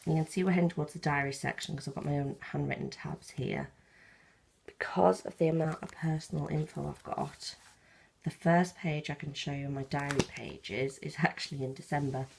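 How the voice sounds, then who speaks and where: A low, gravelly woman talks in a friendly, chatty way, her morning voice croaky and husky. She flips through pages as she explains, recorded close on a phone held in her hand. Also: a little mellow. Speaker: planner vlogger. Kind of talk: casual show-and-tell walkthrough. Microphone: handheld phone.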